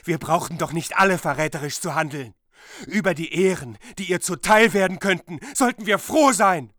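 A man's voice: high voice, expressive, moderate pace, a bit bright, no room sound, clean studio sound. An actor playing a loyal lord who argues fervently for the queen.

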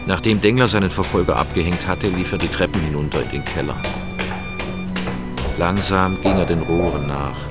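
A man's voice: low voice, moderate pace, lightly acted. A man narrating a crime radio drama in a sober, scripted storytelling style. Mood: sober, suspenseful. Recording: music behind the voice. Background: background music.

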